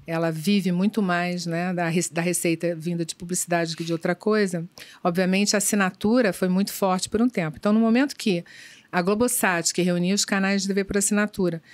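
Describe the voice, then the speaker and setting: A middle-aged woman executive answering interview questions in a measured, thoughtful way, pausing often with fillers. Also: lowish voice, steady tone. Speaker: business executive guest. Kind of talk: conversational podcast interview.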